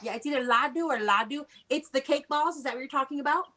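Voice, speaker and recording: A woman's voice: high-pitched, fast, bright, forceful tone. An encouraging woman teaching young children. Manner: encouraging, praising, instructing step by step. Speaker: elementary school teacher. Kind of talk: interactive lesson for young children.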